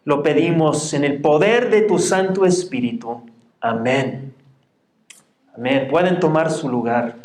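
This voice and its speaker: A man's voice: on the high side, unhurried, darkish tone. A man in his forties with a Mexican Spanish accent, preaching a sermon to a congregation and reading scripture aloud. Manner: reads scripture aloud in a raised, projecting voice; plain, friendly asides to the congregation. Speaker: pastor. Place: church sanctuary. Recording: echoey church room.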